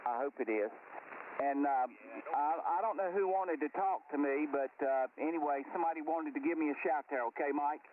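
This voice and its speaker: A man's voice: a little high, brisk. A man who is a regular of an amateur radio group, talking casually with other operators over a shortwave transmission. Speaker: ham radio group regular, roster keeper. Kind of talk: casual two-way radio chat. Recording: thin narrowband ham radio, distant, static. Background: radio static.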